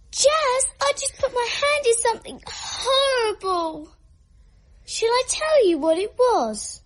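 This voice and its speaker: A woman's voice: very high-pitched, lively intonation, takes it slowly, exaggerated voice. A female performer playing a morbidly curious little girl who likes being frightened, in a radio drama. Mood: gleefully disgusted.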